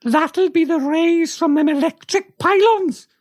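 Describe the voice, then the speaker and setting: Excitable rural wife, piping high and gullible, for radio comedy. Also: female voice, unhurried, no echo. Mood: excitable, earnest. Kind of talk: comic radio play acting.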